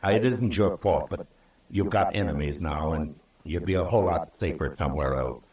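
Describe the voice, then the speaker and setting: A man playing a stern, weary Old West lawman in a radio western, speaking in measured, terse lines with dry sarcasm. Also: deep voice, darkish tone, natural voice. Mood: grave, regretful. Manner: measured, terse, dryly sardonic. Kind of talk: old-time radio western acting and narration. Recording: distant, roomy old radio recording.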